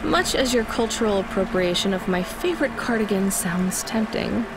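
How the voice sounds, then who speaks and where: A woman's voice: mid-range voice, moderate pace, background noise, close to an everyday voice. A woman playing a weary, sardonic young immigrant courier in a video game, with a dry, guarded delivery. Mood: annoyed, sarcastic. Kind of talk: video game dialogue acting.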